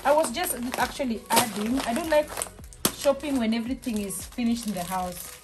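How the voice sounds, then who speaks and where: A woman's voice: on the high side, normal speed, very noisy. A woman chatting casually while she unpacks groceries in her small home kitchen. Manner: chatty, relaxed, restarts and fillers, soft laughs. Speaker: vlogger. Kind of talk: casual shop-with-me haul chat. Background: background music.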